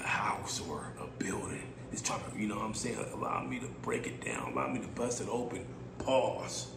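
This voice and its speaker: Man, 30s, raspy, podcast host, laid-back and playful, echoey small room. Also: on the low side. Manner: laid-back, playful, repeats phrases with slangy asides. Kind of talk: casual solo podcast monologue. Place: small room indoors, seated. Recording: echoey room with steady background hiss.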